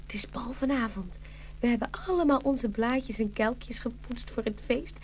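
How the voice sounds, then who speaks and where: A woman's voice: a little high, moderate pace, slight background noise, close to an everyday voice. A woman playing a friendly, festive flower who explains things confidingly in a children's fairy-tale audio play. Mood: hushed, excited.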